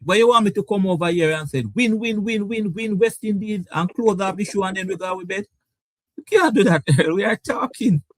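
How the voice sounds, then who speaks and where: A man with a Caribbean English accent hosting a sports debate show, animated and repeating his words. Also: high-pitched, fairly quick, dry recording. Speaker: show host. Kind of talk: lively sports debate talk.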